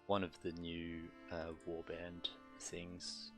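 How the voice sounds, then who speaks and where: A man with a low-pitched voice speaks in a very flat, even line as he streams a game. He comments haltingly and matter-of-factly, sometimes reading story text aloud. Soft game music plays behind him. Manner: hesitant, halting, matter-of-fact.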